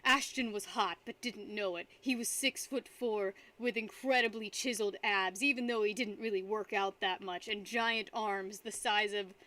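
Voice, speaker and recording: A woman's voice: mid-range voice, steady tone, normal speed, hardly any pauses, pushed and bright. A young woman streaming live, chatting casually with her viewers and rambling between frequent giggles. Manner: giggly, rambling, reads chat aloud.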